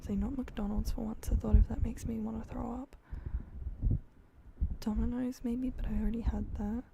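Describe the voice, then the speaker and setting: A young adult woman chatting casually to livestream viewers in a hushed, breathy whisper with creaky edges, keeping her voice down. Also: on the high side, level tone, moderate pace, background noise. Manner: keeping voice down late at night, swearing freely.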